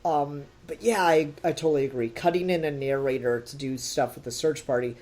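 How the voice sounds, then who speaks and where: A man's voice: on the high side, brisk, darkish tone. A man co-hosting a review podcast, talking casually and critically in an emphatic, opinionated way.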